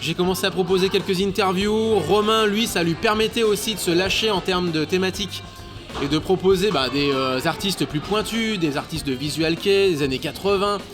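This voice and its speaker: Man, thirties, chatty interview guest, slightly high voice, stammering fillers, clear bar music behind. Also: moderate pace. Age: 30s. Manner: chatty, hesitant with many fillers and stammered repeats. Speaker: guest, radio show co-host. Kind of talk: casual interview chat.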